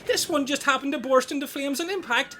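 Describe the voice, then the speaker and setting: A woman's voice: sits high, brisk, sharp tone, a little hiss or hum. A woman acting an aggressive, impatient castaway robber in a comedic radio play, blunt and sweary. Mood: flustered, blustering.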